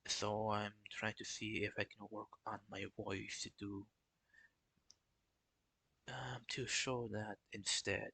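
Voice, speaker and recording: A young man talking casually on a live stream, in a flat, halting way with many restarts. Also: lowish voice, creaky, poor audio. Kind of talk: casual solo stream talk.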